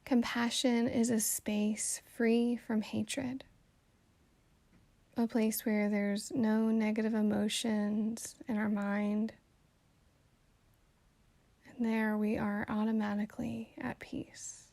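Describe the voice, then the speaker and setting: A woman guiding a meditation in a calm, soothing, gently instructive way, with soft phrases that trail toward a whisper. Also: a little high, slow, creaky, long pauses, no echo. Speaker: meditation guide. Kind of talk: slow guided meditation narration.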